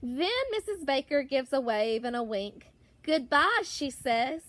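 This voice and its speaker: A woman reading a children's picture book aloud as a teacher would, expressively, with drawn-out words and deliberate pauses. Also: high voice, lively intonation, dry recording. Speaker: primary school teacher.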